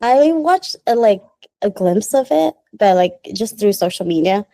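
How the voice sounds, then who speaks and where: Woman, from the Philippines, casual interview guest, rambling and chatty, mellow tone, dry sound. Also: mid-range voice, expressive, measured pace, no echo. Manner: chatty and rambling, many "like"s, laughs often. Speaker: guest, small business founder.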